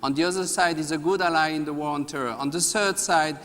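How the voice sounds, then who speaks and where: A measured, analytical man laying out his argument on a debate panel. His voice sits slightly higher than average for a man. The sound carries the long reverb of a large hall. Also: fairly even intonation, a bit bright. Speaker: research fellow on a debate panel. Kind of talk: formal public debate.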